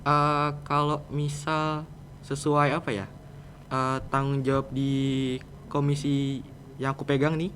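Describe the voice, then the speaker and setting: A man's voice: fairly high pitch, little pitch movement, slow, fairly bright tone. A teenage male student with a Jakarta Indonesian accent, answering interview questions in an informal, roundabout, conversational way. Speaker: student guest, podcast project leader. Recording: clear background noise, room ambience.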